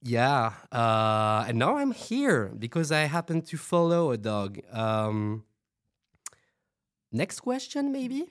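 Man playing an awkward, very slow-talking exiled youngster. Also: mid-range voice, huge pitch swings. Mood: awkward, offhand. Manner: halting, awkward, offhand. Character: exiled youngster from an influencer community, awkward and flippant. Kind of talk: fiction podcast guest answering questions.

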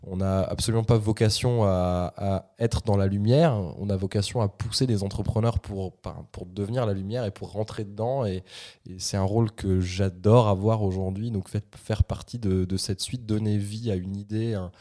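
Man, low voice, mellow dark tone, eager, restarting phrases, podcast guest. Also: steady tone, normal speed. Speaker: startup support guest. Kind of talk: podcast conversation.

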